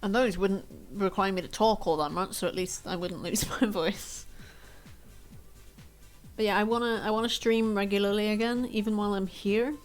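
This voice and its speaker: A woman's voice: medium pitch, fairly even intonation, moderate pace. A young woman streaming from home, chatting casually and rambling in a self-deprecating way. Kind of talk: casual chat to stream viewers. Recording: faint music behind.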